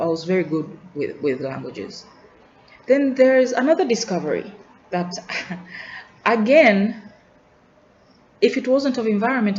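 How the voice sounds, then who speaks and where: Musing Tanzanian-accented woman in a roomy space. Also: medium pitch. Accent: Tanzanian English. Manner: musing, hesitant, with frequent restarts and soft trailing asides. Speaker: student vlogger. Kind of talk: reflective unscripted monologue. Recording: roomy, dull lo-fi sound with faint hiss.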